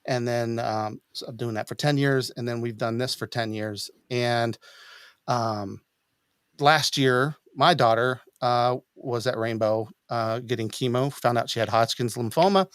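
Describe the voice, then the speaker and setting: A middle-aged man hosting a podcast, chatting casually in a halting, hesitant way. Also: medium pitch, fairly bright tone, smooth, dry recording, clean studio sound. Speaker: podcast host. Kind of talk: casual chat. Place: small home studio room. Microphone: boom-arm studio mic up close.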